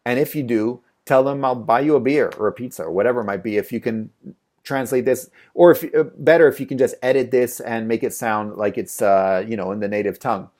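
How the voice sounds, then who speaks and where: A man's voice: medium pitch, fairly quick, a little mellow. A man teaching a casual course on freelance translation. He talks in a loose conversational way, with fillers and repeated phrases. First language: English. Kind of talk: casual spoken tutorial.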